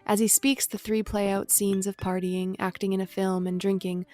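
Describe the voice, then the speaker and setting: A woman's voice: medium pitch, little pitch movement, normal speed, a little mellow, faint music behind, lightly acted. A woman narrating an audio drama, reading out scripted stage directions.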